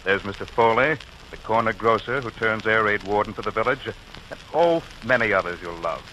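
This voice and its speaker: Bright, forceful 1940s radio announcer man. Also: lowish voice, moderate pace, a little hiss or hum, poor audio. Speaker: announcer and narrator. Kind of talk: 1940s radio scripted narration. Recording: old 1940s radio recording.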